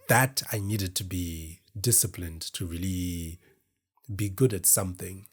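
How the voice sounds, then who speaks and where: A man from Zimbabwe with a deep voice, talking to camera in a casual, meandering, self-deprecating way as host of his own show. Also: husky. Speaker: podcast host. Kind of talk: casual solo talk to camera. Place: indoors in front of a camera.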